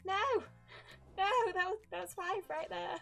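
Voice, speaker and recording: A woman doing casual live commentary on a game, with playful, expressive reactions. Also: extremely high pitch, moderate pace, gravelly. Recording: game music behind the voice. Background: video game music.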